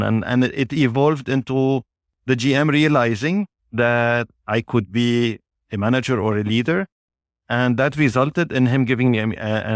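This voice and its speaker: A man of Lebanese origin, a native Arabic speaker, answering interview questions in a measured way with frequent pauses. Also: mid-range voice, no room sound, studio quality. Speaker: guest, business executive.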